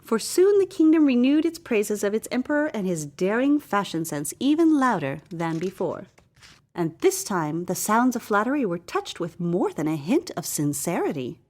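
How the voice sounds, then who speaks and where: A woman reads a satirical tale in a mid-range voice. Her scripted storybook narration is theatrical and deliberate, with stretched emphasis on chosen words. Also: medium pitch, sing-song, darkish tone. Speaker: story reader.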